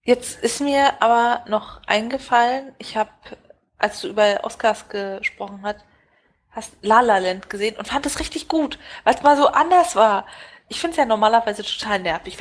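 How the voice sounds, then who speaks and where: Female podcast co-host, mid-range voice, casual and wry. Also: mid-range voice. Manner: wry, self-mocking. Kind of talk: casual chat.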